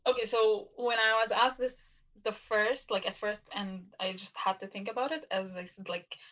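A woman's voice: medium pitch, fairly even intonation, moderate pace, a bit bright. A woman whose first language is Arabic, co-hosting a podcast in casual chat, hesitant with many fillers. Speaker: podcast co-host. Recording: dull lo-fi mic.

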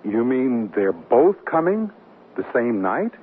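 Bemused new husband, tinny radio sound. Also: male voice, medium pitch, sing-song, slow pace, breathy edge, exaggerated voice. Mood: affable, surprised. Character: Fred, good-natured, bemused new husband, plain-spoken. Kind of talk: 1970s radio drama acting. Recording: muffled, noisy old radio broadcast.

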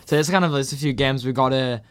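A man's voice: mid-range voice, fast, clean tone. A man with a mid-range voice talks on a community radio show from the studio. He sounds hesitant, stumbling over words and leaning on "like" as he explains the plans. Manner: hesitant, repeating words and saying like often. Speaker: radio co-presenter. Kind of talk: casual radio chat.

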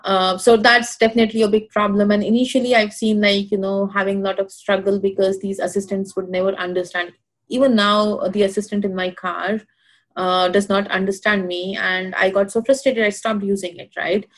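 A woman with an Indian accent speaks in a relaxed, conversational way. Her voice sits at an ordinary middle pitch. She keeps stopping to think with "uh" and "you know" as she explains. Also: brisk. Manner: many fillers, thinks aloud with "you know" and "uh". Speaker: guest expert. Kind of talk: casual conversational interview.

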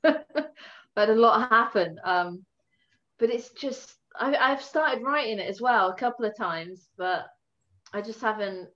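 A woman's voice: fairly high pitch, measured pace, fairly bright tone, muffled recording. A woman who works as a tattoo artist, chatting casually as a guest, telling stories, swearing and laughing. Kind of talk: casual chat.

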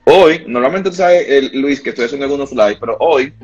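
A man's voice: mid-range voice, expressive, fairly quick, a little mellow, breathy edge, lo-fi sound. A Dominican man from Santiago, a guest in a casual livestream chat, talking with many fillers and restarts. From Dominican Republic.

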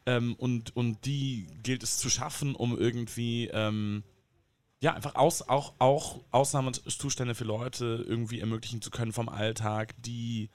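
A man's voice: medium pitch, fairly even intonation, measured pace. A man taking part in a casual discussion, thoughtful and hedging, with fillers. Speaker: guest.

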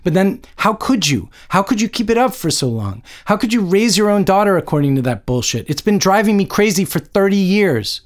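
A man's voice: on the high side, animated, moderate pace, lightly acted. A man voicing an indignant, combative woman scientist in a story, blunt, exasperated and emphatic. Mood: anguished, demanding.

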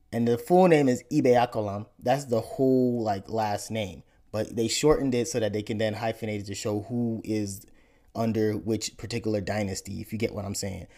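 A man in his thirties from Nigeria, a podcast host explaining his family background in a relaxed interview chat, often repeating words as he thinks. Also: on the low side.

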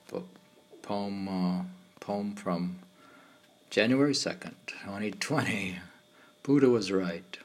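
Slow, low-voiced man reading poetry. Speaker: poet reading own poems. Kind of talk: poetry reading with informal asides.

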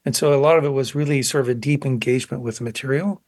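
Male interview guest, sixties. Reflective, halting, picks his words with care; medium pitch, very dry recording. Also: fairly even intonation, darkish tone, no room sound. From grew up in South Korea as child of missionaries. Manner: thoughtful, halting, searching for words. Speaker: guest, painter.

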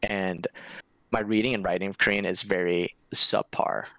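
Gravelly low-voiced American young man. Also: fairly even intonation, normal speed, sharp tone, dry recording, rough recording. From United States. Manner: laid-back, candid, uses "like" a lot. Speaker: podcast guest. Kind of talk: casual interview chat.

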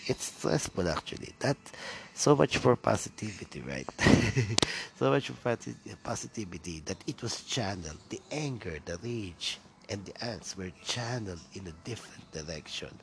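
A man's voice: sits low, creaky, noisy background. A Filipino man whose first language is Tagalog, hosting a solo podcast in English, rambling with many fillers and restarts. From Philippines. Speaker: podcast host.

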